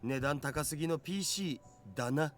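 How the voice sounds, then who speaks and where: A man's voice: on the high side, brisk, close to an everyday voice. An actor playing a geeky young male otaku in a visual novel, casually explaining things in slang. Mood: geeky, explanatory. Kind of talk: Japanese visual novel voice acting. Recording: game dialogue with music behind.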